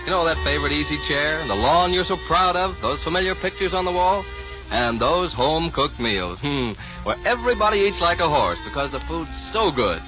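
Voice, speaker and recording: A folksy man reading a chatty 1940s radio commercial. His voice is slightly high and rises and falls with lots of animation. The old recording is dull, with music behind him. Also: animated, brisk. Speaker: radio announcer.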